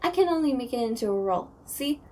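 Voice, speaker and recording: Woman voicing a high, goofy, childlike ghost. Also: lively intonation, a little fast, darkish tone, far from the mic. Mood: chatty, eager. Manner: playful, chirpy, eager. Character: goofy, childlike ghost who loves puns, named Chris. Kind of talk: audio roleplay acting.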